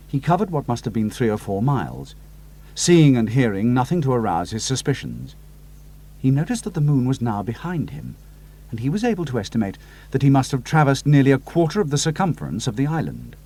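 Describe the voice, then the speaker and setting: A middle-aged man narrating a dramatized adventure story, slowing down for suspense and dropping to hushed tones for atmosphere. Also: medium pitch, breathy edge. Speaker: audiobook narrator. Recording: music score underneath.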